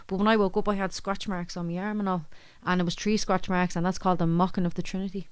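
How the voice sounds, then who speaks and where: A woman's voice: on the low side, little pitch movement, fast talker, a little mellow, smooth, no room sound. An Irish woman with a Dublin accent, casually telling personal stories in a rambling, colloquial way. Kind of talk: casual chat, anecdotes.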